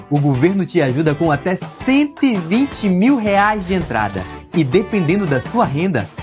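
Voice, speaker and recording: An announcer of medium-pitched voice reading a scripted advertisement. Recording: music bed under the voice. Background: music with guitar.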